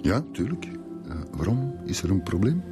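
A man's voice: lowish voice, very animated, moderate pace, music in the background. An actor playing an evasive, hesitant male witness in a radio drama, with a Flemish accent. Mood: uneasy.

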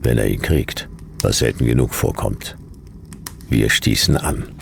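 Very deep, terse hard-boiled detective. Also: male voice, a little slow, gravelly, a little hiss or hum, exaggerated voice. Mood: terse, brooding. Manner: terse, clipped phrases, deadpan. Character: laconic, hard-boiled male detective narrator in sci-fi desert. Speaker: narrator and lead actor. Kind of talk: noir first-person radio drama narration.

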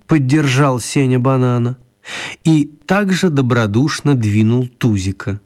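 Male storyteller, medium pitch, wry. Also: unhurried, darkish tone. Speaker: narrator. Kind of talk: scripted storytelling for a children's radio play.

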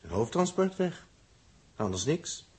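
A man with a Netherlands Dutch accent playing a sardonic, grumbling young space traveller in a 1960s radio drama, with a dry, offhand, husky delivery. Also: a little high, sing-song, measured pace, a little mellow, full character voice. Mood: dry, dismissive.

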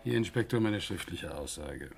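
An actor playing an indignant bank director under suspicion in a 1960s German radio play, terse and insistent, with a husky voice. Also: male voice, low voice, animated, moderate pace, soft background music. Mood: weary, defensive.

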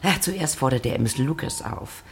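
Gushing, creaky-voiced excitable mother. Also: rumbling low, normal speed, a little hiss or hum. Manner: effusive, theatrical, breaking into breathy whispers. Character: gushing, excitable middle-aged mother, vain about her daughters. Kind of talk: German radio drama acting.

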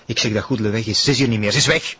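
Blunt, agitated Flemish accomplice, low-voiced and quick, TV crime drama through muffled, noisy sound. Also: male voice, pushed and bright. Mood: grim, anxious. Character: exasperated, anxious male accomplice, plain-spoken and scornful. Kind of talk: television crime drama acting. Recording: muffled lo-fi sound with background noise.

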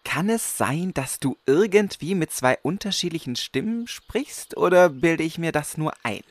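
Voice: medium pitch, animated, unhurried, dry recording. An actor playing an earnest, exasperated young adventurer with a sarcastic, incredulous manner in a comic fantasy radio play.

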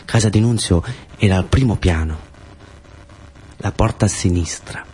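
Deep-voiced southern Italian male storyteller. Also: normal speed, a little mellow, husky. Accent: southern Italian, Pugliese. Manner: colloquial, repetitive phrasing with short fragments. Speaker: storyteller actor performing a theatrical monologue. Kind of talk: dramatic first-person radio theatre monologue.

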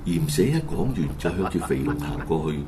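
A man's voice: sits low, sing-song, fairly quick, slight background noise. A man with a Hong Kong Cantonese accent narrating a horror radio drama in a dramatic scripted storytelling style. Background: loud music.

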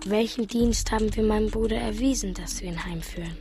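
An actress playing the grave, questioning inner voice of a mourner in a literary radio play, speaking in a measured, reflective way. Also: female voice, mid-range voice, natural voice. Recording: music behind the voice. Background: music.

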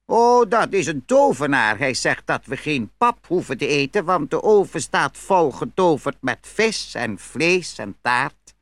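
Bright, animated poor little farmer. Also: male voice, fairly high pitch, unhurried, few pauses, no room sound. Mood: sly, amused. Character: poor cunning small farmer, cheerful, naive-sounding. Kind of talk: fairy tale audio play.

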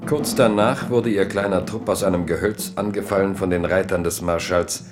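A male narrator for a radio drama, pitched deep. He reads literary prose as a storyteller would. The delivery is measured and dramatic. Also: over loud music.

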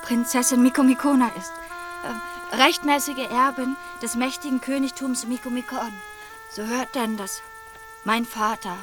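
High, courtly damsel in distress played by a woman. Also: close to an everyday voice. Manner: flowery, mock-courtly, supplicating. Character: quick-witted young lady posing as distressed princess. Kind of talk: radio play acting.

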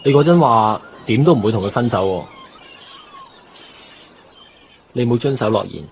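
A man's voice: medium pitch, expressive, quick pace, slight background noise, close to an everyday voice. An actor playing an earnest, wistful married salesman in a Cantonese radio drama, chatting in Hong Kong Cantonese sprinkled with English words. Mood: wistful, needling. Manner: conversational storytelling with English words mixed in. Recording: muffled radio drama with music bed.

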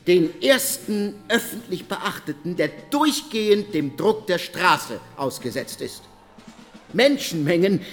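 High-pitched male narrator with reverb. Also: fairly bright tone. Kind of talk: scripted documentary radio play narration. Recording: reverberant hall sound.

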